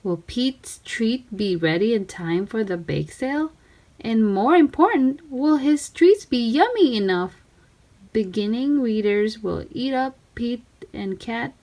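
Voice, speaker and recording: A woman reading a children's storybook aloud in simple, deliberate phrases. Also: fairly high pitch, dark tone.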